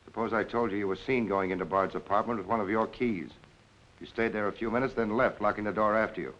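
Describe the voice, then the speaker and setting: An actor in a 1940s crime film playing a shrewd, controlled police lieutenant with a terse, probing, dry manner. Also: male voice, fairly low pitch, fairly even intonation, fast, a bit bright, close to an everyday voice. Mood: cool accusing. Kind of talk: 1940s film noir acting. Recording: dull 1940s film soundtrack.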